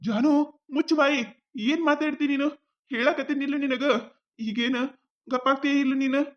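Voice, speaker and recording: A woman's voice: high-pitched, steady tone, moderate pace, highs cut off, close to an everyday voice. A woman playing a devoted young boyfriend. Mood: apologetic. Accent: North Karnataka Kannada. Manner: coaxing, reassuring.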